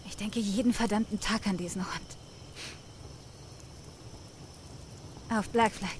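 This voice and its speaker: A woman's voice: mid-range voice, steady tone, fairly quick, a little hiss or hum, lightly acted. A woman playing a young drifter in a German game fan dub, slangy and rueful in a plain-spoken way. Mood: regretful. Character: young female drifter, rueful, mourning her dog. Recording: clear night insect ambience behind voice. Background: crickets and night ambience.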